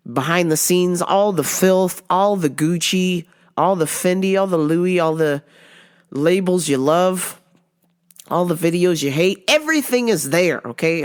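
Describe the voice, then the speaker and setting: A woman hosting her own podcast, congested with a sore throat, rambling casually, swearing a lot and laughing often. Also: lowish voice. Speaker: podcast host. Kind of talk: casual solo podcast monologue.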